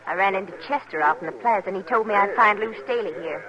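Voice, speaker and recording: An American actress playing an anxious, guarded young frontier wife in a 1950s radio western, earnest and uneasy. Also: female voice, medium pitch, quick pace, lo-fi sound, crowd noise, close to an everyday voice. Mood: determined, worried.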